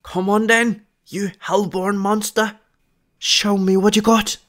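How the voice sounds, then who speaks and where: A man voicing a plucky, kind-hearted little animated skeleton in a fantasy audio play, with a bold, earnest and caring manner. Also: high-pitched, takes it slowly, pitched above the performer's own voice. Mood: bold, defiant. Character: plucky, kindly little animated skeleton hero, high-voiced.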